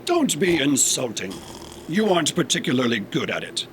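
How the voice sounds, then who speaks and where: A man's voice: a little high, big pitch swings, moderate pace. A man playing a haughty robot who scorns humans in a sci-fi comedy audio drama, speaking with pompous, formal diction over a noisy background. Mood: scornful. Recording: clear background noise and ambience.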